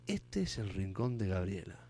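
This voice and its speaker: A man's voice: low voice, sing-song, moderate pace, dark tone. A man hosting a radio interview, asking probing, reflective questions. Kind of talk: conversational interview.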